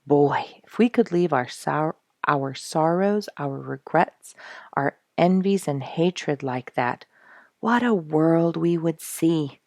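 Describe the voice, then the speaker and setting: A woman's voice: deep voice, lively intonation, slow pace, darkish tone, no room sound, clean studio sound, exaggerated voice. A woman reading a storybook aloud, voicing an eager, thoughtful boy with an earnest, exclaiming delivery. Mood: earnest, wistful.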